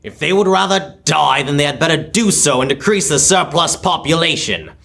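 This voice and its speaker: A man's voice: a little high, expressive, moderate pace, creaky, a bit bright, breathy edge, full character voice. A young man playing a bitter, miserly old businessman in a live radio drama, speaking in a curt, scornful, emphatic way. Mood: dismissive. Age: teenager or young adult.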